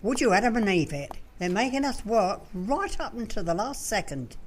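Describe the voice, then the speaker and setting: A woman's voice: mid-range voice, very animated, moderate pace, a little hiss or hum, close to an everyday voice. A woman playing a cheeky, plain-spoken young Cockney shop assistant in an amateur radio comedy. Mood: grumbling.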